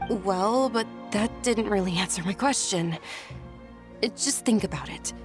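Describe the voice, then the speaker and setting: An actress playing a gentle, dutiful young noblewoman in a video game, polite, measured and articulate. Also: medium pitch, soft background music, natural voice. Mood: reasoning.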